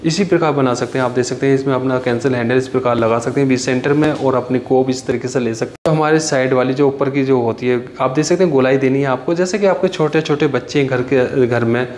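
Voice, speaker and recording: A young man giving a plain, pause-filled walkthrough tutorial on furniture he built, filmed on a handheld phone inside a finished bedroom. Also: mid-range voice, darkish tone. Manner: plain explanatory talk with frequent "jaise ki" and pauses. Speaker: carpenter showing his furniture work. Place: finished bedroom with hard floor and walls. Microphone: handheld phone camera. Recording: echoey room with faint ambient noise.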